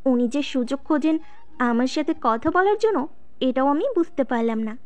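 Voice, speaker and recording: A woman's voice: sits high, expressive, moderate pace, darkish tone, muffled recording. A woman playing a shy, easily piqued student who narrates her own story in a scripted audio drama. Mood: earnest, wistful. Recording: music behind the voice.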